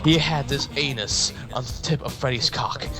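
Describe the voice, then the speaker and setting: A man's voice: medium pitch, moderate pace. A man giving a dramatic reading of fan fiction, sometimes stumbling and repeating words. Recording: music behind the voice. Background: music.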